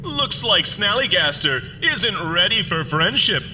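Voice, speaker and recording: A man's voice: high-pitched, sing-song, unhurried, heavy background noise. A man playing an alien monster who acts as an over-cheerful, demented host of a children's radio show, in a theatrical sing-song delivery. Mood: cheery hosting. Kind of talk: fiction podcast acting, parody kids' radio show. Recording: long reverb, loud music behind.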